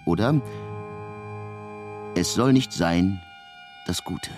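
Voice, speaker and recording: An actor playing an intense, cerebral male composer who expounds musical theory emphatically and haltingly in a literary radio drama. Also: low voice, lively intonation, over music, exaggerated voice. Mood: bleak.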